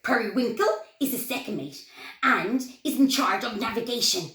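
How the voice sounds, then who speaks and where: A woman's voice: on the high side, lively intonation, moderate pace, a bit bright. A woman voicing a tiny, proud male pirate captain for a children's story, declaiming grandly and giving orders. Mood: hearty. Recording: roomy echo, clear background noise.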